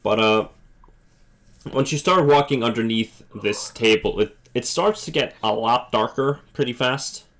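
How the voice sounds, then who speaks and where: A male game master narrating a tabletop scene, halting and restarting as he goes. His voice has an ordinary middle pitch and a casual, unscripted delivery. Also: fairly bright tone. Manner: halting, full of fillers and restarts.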